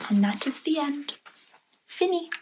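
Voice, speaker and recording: A woman reads a picture book aloud for children in a high voice. She takes her time, with a very slow, expressive storytelling delivery, and pauses to point out what is in the pictures. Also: a little hiss or hum, poor audio. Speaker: storybook reader.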